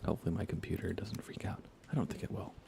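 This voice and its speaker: A soft-spoken man chatting casually as he hosts an ASMR gaming stream, often slipping into whispers. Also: deep voice, steady tone, quick pace, gravelly. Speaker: livestream host. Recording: faint computer fan noise. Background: computer fans, game ambience.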